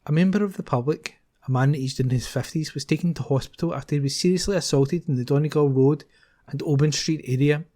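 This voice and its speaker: A man reads newspaper articles aloud at a steady, careful pace, now and then stumbling and restarting a word. His voice sits a little high and sounds mellow and warm. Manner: steady, careful reading with occasional restarts on words. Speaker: volunteer newspaper reader.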